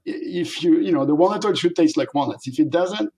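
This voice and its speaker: French man talking animatedly, fairly high voice. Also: fast. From France. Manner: animated, laughs often, acts out quoted voices. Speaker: guest, company founder. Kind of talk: casual interview conversation.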